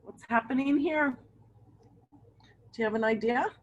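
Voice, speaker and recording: A woman's voice: fairly high pitch, measured pace, lo-fi sound. A woman reading a picture book aloud to children, with chatty asides and questions to her young listeners. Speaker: read-aloud storyteller for children.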